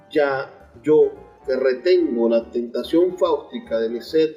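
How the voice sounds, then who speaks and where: Venezuelan radio host, mellow male voice over music. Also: medium pitch. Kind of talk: literary radio interview, reading poetry aloud at times. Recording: dull lo-fi radio sound, music behind.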